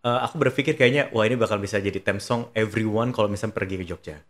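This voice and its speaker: A woman's voice: extremely low pitch, quick pace. A woman. She is chatty, chimes in often and slips English phrases into her talk. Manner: chatty, frequent backchannels, switches between Indonesian and English. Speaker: podcast host. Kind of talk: casual interview chat.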